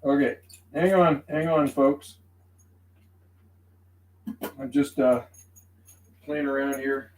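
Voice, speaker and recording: A man's voice: mid-range voice, fairly even intonation, slow, long pauses. A man chatting casually as a CB radio operator on a livestream, folksy and repetitive, using radio slang. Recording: roomy sound with faint background noise.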